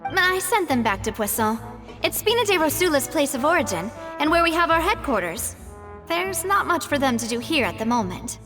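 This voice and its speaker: An actress playing a poised young woman leader in a video game, wry and determined, with a measured delivery. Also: high-pitched, very animated, big-room sound, music in the background. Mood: matter-of-fact.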